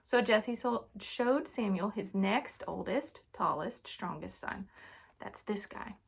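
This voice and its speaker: A young adult woman reading a storybook aloud to children in an informal, animated way. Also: mid-range voice, takes it slowly, vocal fry, breathy edge. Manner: casual, child-directed, animated on dialogue.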